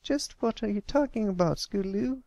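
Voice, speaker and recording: A performer voicing an earnest high-pitched young filly. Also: normal speed, vocal fry, mellow, dry recording, highs cut off, close to an everyday voice. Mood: puzzled. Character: concerned, curious young filly, loyal friend. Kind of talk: acted fan fiction reading.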